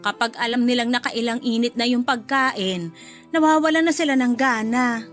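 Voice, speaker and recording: A woman's voice: a little high, normal speed, natural voice. An actress playing a kind, chatty older mother-in-law in a radio drama, speaking in a motherly way. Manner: warm, motherly, polite thanks. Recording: faint music bed behind.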